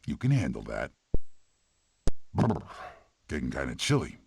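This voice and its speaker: A man's voice: a bass voice, huge pitch swings, unhurried, gravelly, darkish tone, slight background noise, exaggerated voice. A man voicing a wry, grumbling prehistoric animal in a video game, with a dry, sardonic delivery.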